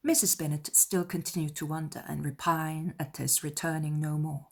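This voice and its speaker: A woman's voice: low-pitched, little pitch movement, moderate pace, vocal fry, darkish tone. A woman reading scripted narration from a classic novel as an audiobook narrator.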